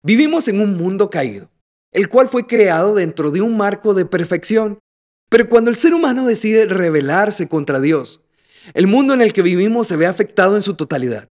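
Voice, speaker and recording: High-pitched, animated Costa Rican man. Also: moderate pace. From Costa Rica. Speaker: podcast host. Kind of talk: scripted explanatory narration.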